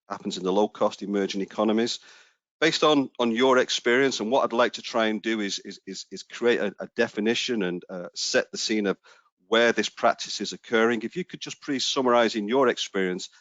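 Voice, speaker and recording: A low-voiced man hosts a webinar, stumbling through restarts and ums as he frames his questions. The sound is dry and dull, with the narrow band of a video call. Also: fairly bright tone, no room sound. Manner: hesitant, many fillers and restarts. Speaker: webinar host, interviewer. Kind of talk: webinar interview hosting.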